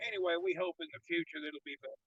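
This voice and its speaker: A man's voice: sits high, big pitch swings, rapid-fire, fairly bright tone. A man from Tennessee hosting a live stream in an upbeat way and greeting viewers by name. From Tennessee, USA. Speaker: live-stream show host. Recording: dull lo-fi stream audio.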